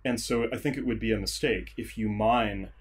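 A man speaking as an interview guest, giving measured, articulate analysis as a theatre scholar and director. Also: fairly low pitch, steady tone, a little hiss or hum. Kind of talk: thoughtful analytical interview talk.

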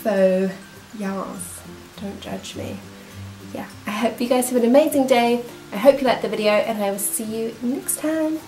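A woman's voice: fairly high pitch, a little slow, mellow, faint music behind. A young woman with a New Zealand accent talks through her skincare products in a chatty, enthusiastic way. A cold leaves her voice nasal. She sits in a small room facing a camera, and the room gives the sound a noticeable echo. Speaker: beauty vlogger. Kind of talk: casual product review talk. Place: small room indoors, seated. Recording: roomy echo.

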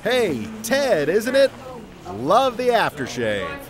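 A man's voice: high-pitched, huge pitch swings, slow pace, over music, exaggerated voice. An actor playing a good-natured, wisecracking family man and reporter in an audio drama. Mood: joking. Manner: affable, joking.

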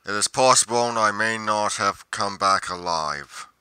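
Man playing a slow, brooding monster investigator. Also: on the low side, pushed and bright, smooth, no room sound. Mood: brooding, musing. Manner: slow, deliberate, pausing mid-sentence, musing. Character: brooding, solitary male monster investigator musing on mysteries. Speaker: roleplay performer. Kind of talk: dramatic roleplay monologue.

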